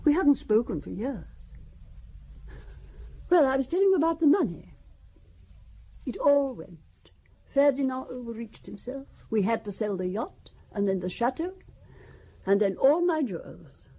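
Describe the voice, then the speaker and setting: A wry, husky older widow. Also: female voice, a little high, sing-song, unhurried, pauses often, mellow, heavily acted. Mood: confiding. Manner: wry, confiding, gossipy. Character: wealthy older widow, genteel, sharp-tongued about her daughter. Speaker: Valentine Besson. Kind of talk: radio drama acting.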